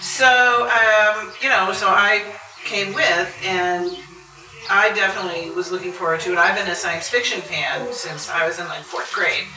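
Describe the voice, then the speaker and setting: A woman's voice: on the low side, fairly even intonation, moderate pace, pushed and bright. A middle-aged woman talking casually as a panelist at a live convention, thinking aloud in a conversational way. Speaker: convention panelist and audio drama voice actor. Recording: roomy, distant mic, noisy live room.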